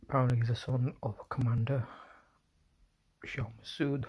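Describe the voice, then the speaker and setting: Solo male streamer, hushed half-whispered delivery, mellow tone, faint hiss behind. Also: level tone, normal speed. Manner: talking low, often whispering, with apologies and restarts. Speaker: livestream host. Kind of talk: solo livestream commentary. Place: indoors at home, quiet room. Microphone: phone.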